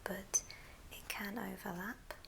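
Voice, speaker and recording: A woman whispering breathily in a gentle ASMR craft tutorial, explaining step by step. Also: medium pitch, steady tone, slow pace, creaky, slight background noise.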